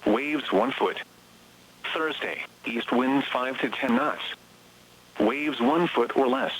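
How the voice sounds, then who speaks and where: A man's synthetic voice reading scripted automated weather bulletins in a flat, measured way, as heard on a weather radio broadcast. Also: medium pitch, slightly rough. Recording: weather radio broadcast, slightly muffled sound.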